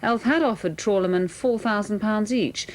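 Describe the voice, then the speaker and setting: A woman's voice: mid-range voice, normal speed. A woman reading a scripted regional television news bulletin as presenter.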